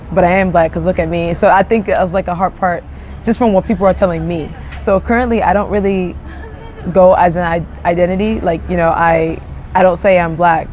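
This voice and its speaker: Relaxed young woman, low voice, faint music. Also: slight background noise. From Japan. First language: Japanese. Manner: relaxed, thoughtful, lots of fillers and hedges. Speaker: interview guest. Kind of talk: casual interview answers.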